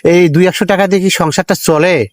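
A man's voice: high voice, steady tone, fairly quick, no echo. Polite male interviewer, dry sound. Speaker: interviewer and channel host. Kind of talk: on-camera interview for an online channel.

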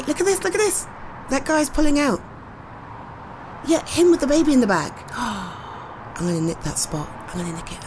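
A woman's voice: fairly high pitch, background noise. A British woman acting a cute, coaxing, easily flustered girlfriend in a casual one-sided roleplay conversation. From United Kingdom. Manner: cute, coaxing, giggly, expressive. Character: playful, eager, easily flustered girlfriend talking to partner. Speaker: roleplay voice performer.

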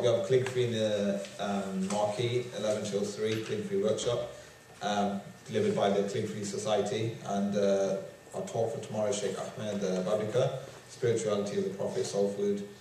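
A man's voice: on the low side, level tone, moderate pace, few pauses, darkish tone, slight background noise. A young man hosting a student event, introducing the speaker with many hesitations. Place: large lecture hall at podium. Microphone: far camera. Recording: echoey hall from far off.

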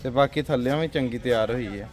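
A man's voice: medium pitch, brisk, a little mellow. A man hosting a livestock sale video, with a friendly, promotional manner, talking outdoors in a farmyard. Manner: friendly, brief acknowledgments, promotional. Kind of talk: casual interview.

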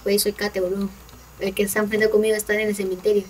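A woman's voice: medium pitch, flat delivery, very fast. Brief: young Peruvian woman gamer, playful and laughing, noisy far-off mic. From Peru. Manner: playful, teasing, laughs a lot. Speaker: gaming channel host. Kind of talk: casual gameplay chat.

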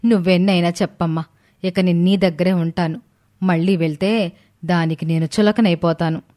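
A woman playing a proud, gullible older mother-in-law in an animated story, with a dramatic delivery. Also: fairly low pitch, normal speed, lightly acted. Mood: aggrieved.

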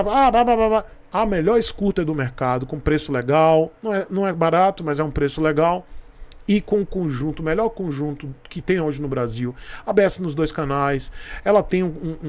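Brief: man, 30s, livestream host, slightly high voice, emphatic and chatty, close desk mic. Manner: animated, emphatic, gesturing, friendly slang. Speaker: motorcycle channel host. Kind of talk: casual live-stream advice. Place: small home studio room. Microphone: desk microphone close in front.

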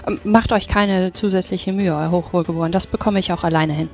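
A woman's voice: lowish voice, steady tone, a little fast, lightly acted. A woman playing a polite, practical mage in a tabletop game, speaking formally and courteously. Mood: deferential. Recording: dull microphone, background music.

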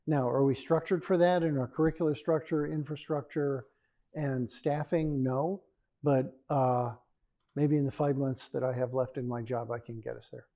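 An older professor answering audience questions in a large lecture hall, often ending points with a quick "right?". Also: male voice, medium pitch, steady tone, warm tone. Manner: ends points with a tag "right?". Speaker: keynote speaker, professor.

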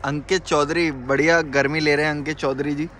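A man's voice: a little high, level tone, fairly quick, bright, forceful tone. Chatty young man. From India. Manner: chatty, upbeat, leans on fillers like "matlab" and "yaar". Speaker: vlogger. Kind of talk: casual travel vlog chat. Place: inside a car on the highway and at an outdoor roadside eatery. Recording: road noise and outdoor hum behind.

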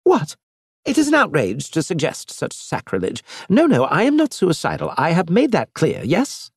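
A man voicing a cunning, fussy alien male trader, raising his voice high and indignant. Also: wildly swooping pitch, fairly quick, a little mellow, husky, no room sound, studio quality. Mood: wheedling.

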